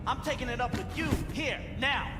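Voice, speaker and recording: An entitled, hot-headed man from a video game, arguing in an extremely high voice. He is belligerent, and the room echoes around him with music playing. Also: normal speed. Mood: outraged. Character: entitled, hot-headed male club patron.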